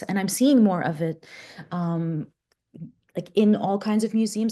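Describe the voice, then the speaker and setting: Woman artist presenting her slides, warm mellow tone, kinda halting, keeps correcting herself. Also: on the low side. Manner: hesitant, many fillers and self-corrections. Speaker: perfumer and interdisciplinary artist, presenter.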